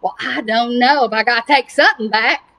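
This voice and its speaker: A woman's voice: a little high, fairly quick, vocal fry, sharp tone. A woman voicing a folksy, admiring male farmer in a children's story, musing and drawling in a Southern US accent.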